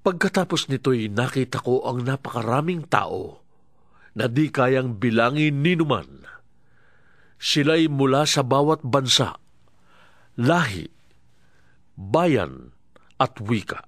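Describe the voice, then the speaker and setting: A man reading dramatic Bible narration in a solemn, deliberate manner. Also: medium pitch, lively intonation, a bit bright, no echo, studio quality.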